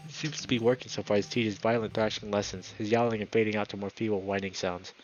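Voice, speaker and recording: A man with a fairly low voice narrates a visual novel playthrough. His reading is expressive and dramatic, with the odd stumble. Music plays clearly behind him. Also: quick pace, dull audio. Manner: dramatic, stumbles and rereads words. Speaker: video creator reading a visual novel aloud.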